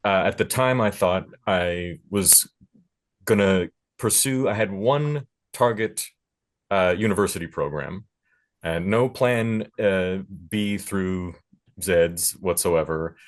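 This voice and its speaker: A man with a very low-pitched voice speaks on a panel. He talks reflectively, offering advice in halting phrases with pauses between thoughts. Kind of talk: panel discussion, giving career advice.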